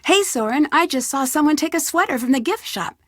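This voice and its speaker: A young female sleuth in an adventure game. She is polite and inquisitive, with a fairly high voice in a dry, close studio sound. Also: lively intonation, brisk, no echo. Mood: concerned. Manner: inquisitive, clearly scripted questioning. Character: curious, polite young female amateur detective. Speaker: game protagonist, investigator. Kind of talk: video game character dialogue.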